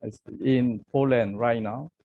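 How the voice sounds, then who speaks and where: Slow, gravelly-voiced male podcast interviewer. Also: mid-range voice, steady tone, no echo, phone-quality sound. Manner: halting non-native English, repetitive phrasing. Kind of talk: podcast interview on world crises.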